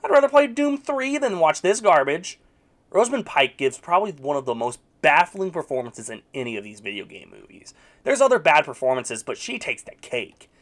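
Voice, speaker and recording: A man's voice: fairly high pitch, sing-song, moderate pace, a bit bright. A man reviewing video game movies in a scripted, casual voice-over, wry and sarcastic with mock-exasperated outbursts.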